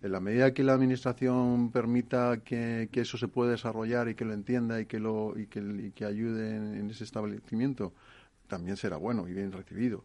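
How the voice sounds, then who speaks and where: Man, creaky lowish voice, halting, panel discussion. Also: steady tone, darkish tone. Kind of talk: spontaneous panel talk.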